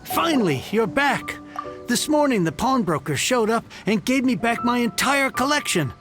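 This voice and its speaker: An earnest, humble game townsman speaks effusively in a high-pitched voice, the heir of a fallen house, with soft music behind him. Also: lively intonation, normal speed. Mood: grateful. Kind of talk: English video game voice acting.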